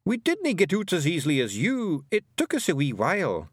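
A man voicing a scrappy, boastful tiny male pixie chieftain with a gruff Scottish brogue and cheeky manner. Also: a little high, wildly swooping pitch, moderate pace, no room sound, clean studio sound, pitched above the performer's own voice, exaggerated voice.

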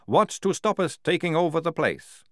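A man voicing a young female vampire soldier who passes as male, with a brisk, wry, chatty manner, in an audiobook story. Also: a little high, very animated, fairly bright tone, no room sound, clean studio sound, pitched above the performer's own voice. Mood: confiding.

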